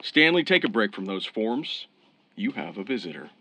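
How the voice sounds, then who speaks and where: An actor in a radio drama playing a fair-minded, encouraging New York police officer. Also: male voice, on the low side, sing-song, moderate pace, bright, forceful tone, slight background noise. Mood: calm.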